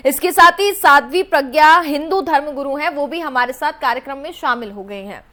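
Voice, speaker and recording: A woman's voice: high voice, brisk, bright, forceful tone. A woman hosting a live TV news panel debate as anchor.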